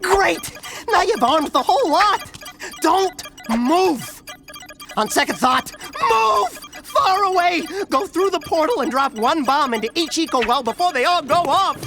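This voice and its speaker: A man's voice: very high voice, animated, unhurried, music in the background, full character voice. A man playing a paranoid, anxious sci-fi technician in a video game, stammering nervously as he talks. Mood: panicky. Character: jittery, paranoid male technician in a sci-fi city. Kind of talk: animated video game voice acting.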